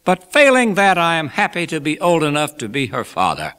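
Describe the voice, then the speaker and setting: A man voicing a genial, effusive old gentleman from a classic storybook, making toasts in an emphatic, oratorical manner. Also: a little high, huge pitch swings, a little fast, smooth, pitched above the performer's own voice. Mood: heartfelt, celebratory. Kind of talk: dramatised classic novel reading.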